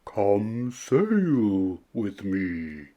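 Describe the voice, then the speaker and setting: Giant gentle whale for a picture book, voiced by a man: ponderous, moaning, very slow. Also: lowish voice, sing-song, lo-fi sound, heavily acted. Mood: inviting. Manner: slow, drawn-out, moaning delivery.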